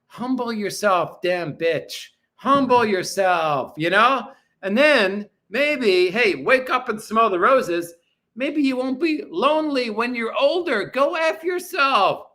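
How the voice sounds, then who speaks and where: A man's voice: very high-pitched, animated, slow pace, sharp tone. A man in his forties hosting a livestream from his apartment, answering viewer comments in a crude, profane, blunt way. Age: 40s. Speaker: livestream host. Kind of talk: casual livestream chat reading viewer comments.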